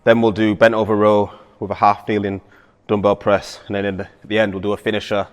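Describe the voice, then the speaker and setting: A man hosting the show and coaching a workout, talking in a relaxed, loose way with plenty of fillers. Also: fairly low pitch, quick pace, clean tone. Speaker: host and personal trainer. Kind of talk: casual coaching chat. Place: gym. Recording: echoey gym with long reverb.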